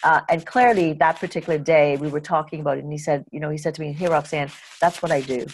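A woman with a deep, low-set voice hosts an interview. She is from Trinidad and Tobago and talks conversationally. She thinks aloud at length, reflecting as she goes. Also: steady tone, fast talker, slight background noise, low-quality recording. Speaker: podcast host and interviewer.

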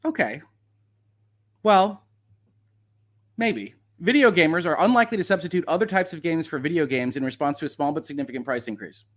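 A man's voice: fairly high pitch, animated, a little fast, long pauses. A man hosting a livestream of legal commentary, talking casually and reading aloud with wry, sardonic asides.

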